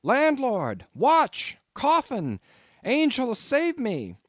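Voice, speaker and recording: A man playing an anxious, excitable lodger in a storybook, shouting and exclaiming. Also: very high voice, very slow, no room sound, clean studio sound, pitched above the performer's own voice, heavily acted. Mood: terrified.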